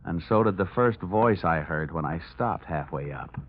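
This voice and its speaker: A man's voice: low voice, expressive, moderate pace, a little hiss or hum. An actor playing a wry, wisecracking insurance investigator who narrates his case in vintage radio noir style. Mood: wry, sardonic. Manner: sardonic, wisecracking. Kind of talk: 1940s-50s radio noir narration and acting. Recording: tinny vintage radio recording, music behind.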